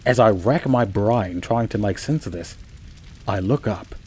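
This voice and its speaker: Actor as a low-voiced man telling eerie tales. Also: a little mellow, husky. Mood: fearful, dramatic. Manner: theatrical, conversational asides with dramatic pauses. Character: chatty, bewildered man telling his own eerie tale. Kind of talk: first-person scripted story narration, radio play.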